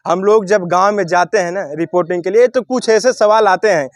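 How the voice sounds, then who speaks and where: A man's voice: high voice, a little fast, dry recording, clean studio sound. A man, speaking as a local news reporter in a rhetorical, declamatory way that addresses the viewers. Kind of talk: spoken news commentary and interview.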